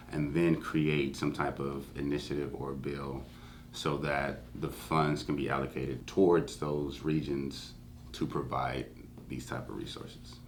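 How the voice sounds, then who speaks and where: A facility director speaking formally and in a measured way in an interview. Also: male voice, very deep voice, a little hiss or hum.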